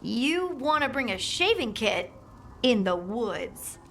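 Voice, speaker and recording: A woman's voice: a little high, very animated, takes it slowly, fairly bright tone, slight background noise, exaggerated voice. An actress playing a practical, outspoken young outdoorswoman in a video game, plain-spoken and friendly with teasing exasperation. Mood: exasperated, teasing. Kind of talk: video game cutscene acting.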